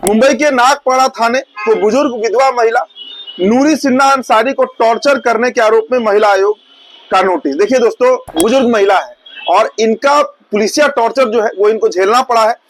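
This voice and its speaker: A man's voice: very high voice, moderate pace, a little hiss or hum, rough recording. A man presenting news in an informal, explanatory way, talking straight to viewers and often rephrasing his points.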